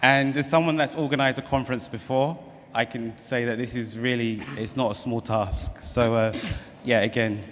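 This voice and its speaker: A man's voice: mid-range voice, fairly even intonation, normal speed, a bit bright. A man with a London accent moderating a conference panel, speaking informally to introduce the session. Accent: London English. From London, England. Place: large conference hall on stage. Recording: echoey hall PA, noticeable noise.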